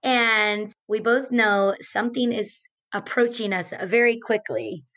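A woman's voice: on the high side, unhurried, a bit bright. A woman co-hosting an education podcast, chatting casually with a strained voice. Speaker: podcast co-host, teacher.

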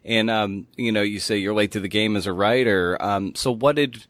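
Man, deep low voice, podcast host, conversational delivery. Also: moderate pace, fairly bright tone, no echo. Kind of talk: scripted intro narration and casual interview.

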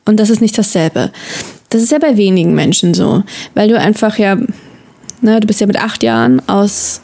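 A woman co-hosts a relaxed podcast chat. Her voice is at a medium pitch. She explains things patiently and often teases her co-host. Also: normal speed. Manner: teasing, explaining.

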